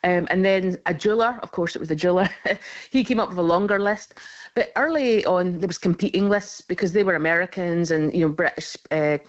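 A woman's voice: on the low side, a little fast, lo-fi sound. A woman in her forties, a historian, chatting on the radio with lots of fillers and laughter. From Scotland. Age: 40s. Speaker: historian guest. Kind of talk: radio interview.

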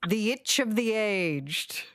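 A chatty woman hosting talkback radio, quick to laugh as she reads out messages and takes calls. Her voice sits at a middle pitch and is recorded close and dry, with no room sound. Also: expressive, fairly bright tone, dry recording. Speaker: radio host.

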